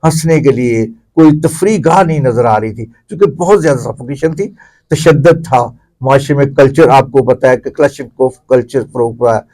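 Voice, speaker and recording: Man, middle-aged or older, Pakistani accent, medium pitch, discursive interview guest. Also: mellow, rough recording. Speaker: interview guest, theatre commentator. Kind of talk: reflective explanatory interview talk.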